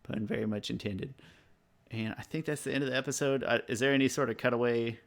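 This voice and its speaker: A man's voice: medium pitch, steady tone, quick pace, creaky. A man in his thirties co-hosting a podcast, chatting casually and thinking aloud with jokey asides. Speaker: podcast co-host. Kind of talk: casual chat.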